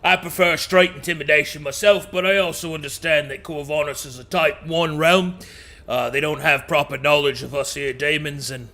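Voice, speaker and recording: A man's voice: on the high side, bright, forceful tone. A man voicing a male demon who brews poisons, talking in a rambling, folksy, old-fashioned way. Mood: questioning. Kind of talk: tabletop roleplay in character.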